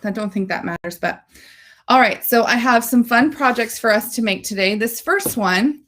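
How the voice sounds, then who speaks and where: Woman host, low-voiced and chatty, gushes with enthusiasm through a casual livestream. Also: normal speed, a bit bright. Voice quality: low-pitched voice.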